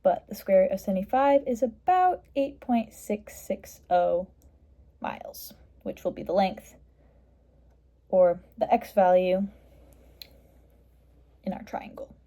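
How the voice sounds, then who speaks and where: Female tutor for math walkthrough: middle-pitched, somewhat mellow voice, matter-of-fact step-by-step delivery. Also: slow, long pauses. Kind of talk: step-by-step explanation of a worked math problem.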